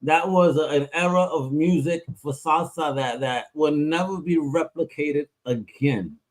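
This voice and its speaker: A man hosting a podcast interview, energetic and hyped, slipping Spanish phrases into his English. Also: fairly high pitch, measured pace, rough recording. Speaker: podcast host. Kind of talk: casual interview chat.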